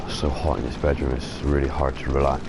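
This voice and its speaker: A man with a deep voice chatting casually while streaming a game from his bedroom over a headset mic. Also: level tone, moderate pace, heavy background noise. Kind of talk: casual live gameplay commentary. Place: hot bedroom. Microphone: console headset mic.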